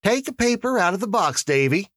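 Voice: mid-range voice, very animated, brisk, fairly bright tone, no echo, studio quality, voice pushed up. A narrator voicing a male town official who gives orderly instructions in a formal, patient, civil way. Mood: formal, directing.

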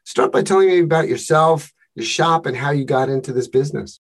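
Husky-voiced male podcast host. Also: a little high. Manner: measured, reads from a script. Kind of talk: scripted podcast intro and interview questions.

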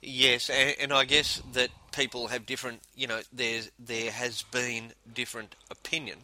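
A man's voice: mid-range voice, level tone, moderate pace, sharp tone, rough recording. A man co-hosting a casual podcast, a native Spanish speaker, talking hesitantly with many ums and restarts. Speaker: podcast co-host.

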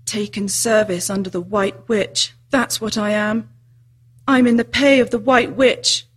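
A woman's voice: mid-range voice, steady tone, a little slow, breathy edge. A woman voicing a sorrowful, guilt-ridden male fawn in a storybook, mournful and self-reproaching. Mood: ashamed. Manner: sobbing, groaning, mournful. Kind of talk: storybook character reading.

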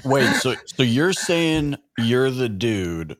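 A man's voice: mid-range voice, sing-song, takes it slowly, no room sound, clean studio sound. A man on a panel, chiming in with casual, jokey banter. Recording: faint background noise with clicks.

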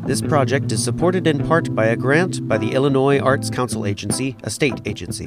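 Male announcer, mid-pitched, scripted end-credits read, loud plucked-string music bed.

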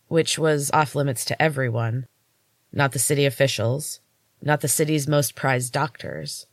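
A woman's voice: a bass voice, no echo, clean studio sound. A woman hosting a podcast and reading her own fiction aloud as a narrator. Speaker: podcast host and author reading her novel. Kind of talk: audiobook-style story narration.

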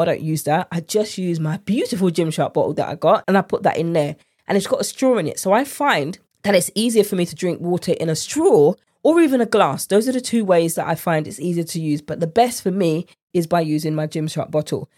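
A woman's voice: fairly low pitch, darkish tone. A woman with a congested, slightly nasal voice hosting a casual solo podcast as a coach, speaking chattily and directly to listeners. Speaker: podcast host and nutrition coach.

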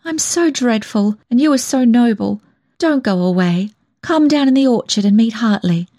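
Proud, emotional young woman, fervent and high-pitched, pleading storybook heroine, acted by a female narrator. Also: animated, normal speed, warm tone. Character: emotional, proud young woman, hot-tempered and self-reproaching.

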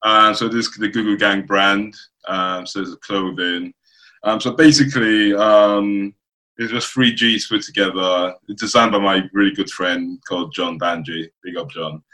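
A man from Nigeria chatting casually as an interview guest, often halting and rephrasing as he goes. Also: lowish voice, steady tone, pushed and bright, poor audio. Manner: halting, circling back to rephrase. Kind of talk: casual chat. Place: remote video call.

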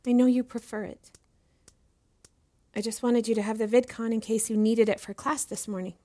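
A woman's voice: on the high side, fairly even intonation, moderate pace, dark tone, close to an everyday voice. An actress playing an eager, deferential young female student in an audio drama, speaking in an earnest, inquisitive way. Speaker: voice actor in a fiction podcast. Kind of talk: acted audio drama dialogue.

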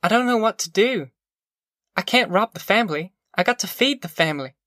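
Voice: medium pitch, expressive, fairly quick, a bit bright, no echo, studio quality, pitched above the performer's own voice, close to an everyday voice. A narrator voicing a worn, anxious, poor country mother with a rural Southern American accent and plain, pleading dialect. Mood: helpless, pleading.

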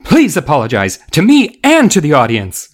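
Man putting on a high, indignant lady teacher. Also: huge pitch swings, normal speed. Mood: shocked, indignant. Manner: shrill, scolding. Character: flustered, indignant woman music teacher.

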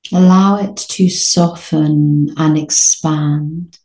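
A woman leading a guided sleep meditation in a soothing, gentle, unhurried manner with long pauses. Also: low-pitched, fairly even intonation, reverberant, faint music behind.

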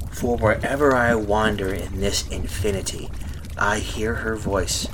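An actor playing a guilt-ridden, terrified dead man in a horror radio play, narrating melodramatically and haltingly with repeated phrases. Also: fairly low pitch, noisy background. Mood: hushed, haunted.

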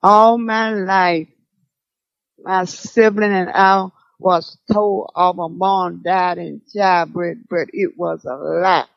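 A woman playing a wounded older daughter in an audio drama, creaky-voiced, on a dull recording. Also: fairly low pitch, fairly even intonation, takes it slowly, no room sound. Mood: hurt, reflective. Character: wounded older daughter remembering a family secret.

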